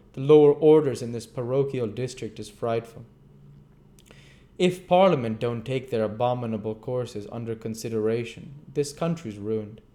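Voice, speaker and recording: A man's voice: mid-range voice, voice pushed up, lightly acted. A man voicing a pompous, indignant middle-aged parish beadle who blusters and moralizes sternly.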